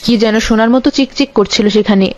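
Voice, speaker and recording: A woman reading scripted storybook narration for an animated fairy tale. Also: on the high side, fast, background noise. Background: loud music, birdsong.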